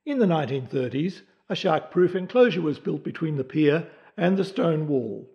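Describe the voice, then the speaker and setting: A man's voice: fairly high pitch, expressive, moderate pace, creaky, a little mellow, lo-fi sound. A man reading scripted historical narration for a documentary.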